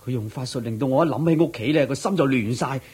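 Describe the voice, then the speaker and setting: A guilt-ridden village husband, weak-willed and easily panicked. His voice is mid-pitched and husky, with a Hong Kong Cantonese accent and an anguished, pleading delivery. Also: fast talker, darkish tone. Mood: sheepish, troubled. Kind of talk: Cantonese radio drama acting.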